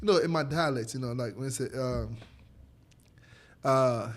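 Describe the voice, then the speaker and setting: Young man, Nigerian accent, native speaker of a local language; podcast guest, informal animated storyteller, mid-range voice. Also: mid-range voice, normal speed. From Nigeria, Edo State. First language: Edo State local language. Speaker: podcast guest, former college athlete. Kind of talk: casual chat.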